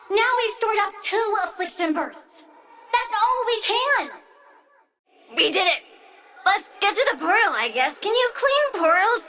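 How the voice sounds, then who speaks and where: A woman's voice: very high-pitched, sharp tone, far from the mic, heavily acted. A woman voicing a childlike, plant-powered villainess in a video game, girlish and bratty, petulant and gleefully menacing, with a sing-song delivery. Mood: gleeful, eager, then petulant, upset. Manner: bratty, sing-song, swinging from cutesy to threatening. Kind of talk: video game character voice lines.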